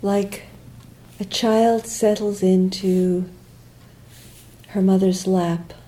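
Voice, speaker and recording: A woman leading a guided meditation as a teacher, speaking gently in short, unhurried phrases with long pauses and quiet, trailing endings. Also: medium pitch, steady tone, poor audio.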